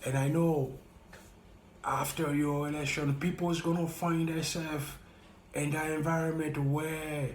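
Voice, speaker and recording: Slow, earnest man with French accent. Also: on the high side, little pitch movement, roomy sound, slight background noise. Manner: slow, earnest, halting and repetitive. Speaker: YouTuber. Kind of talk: heartfelt direct-to-camera talk.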